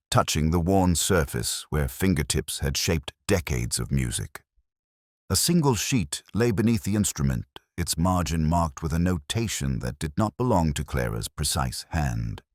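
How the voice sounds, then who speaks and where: A man narrating a scripted first-person detective mystery in a measured, formal, literary manner. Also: extremely low pitch, a little mellow, dry recording, clean studio sound.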